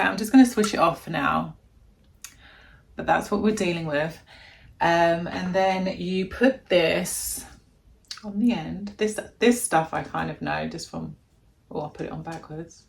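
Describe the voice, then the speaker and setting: A woman with a deep voice, chatting casually and gushing as she unboxes a gadget in a vlog at home. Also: creaky. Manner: gushing, chatty, thinking aloud. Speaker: vlogger.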